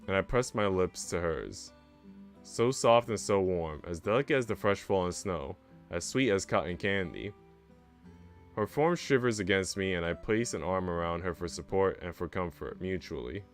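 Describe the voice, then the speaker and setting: A man's voice: sits low, expressive, moderate pace, faint music behind. A young man streaming a visual novel read-aloud, narrating with casual, slangy reactions.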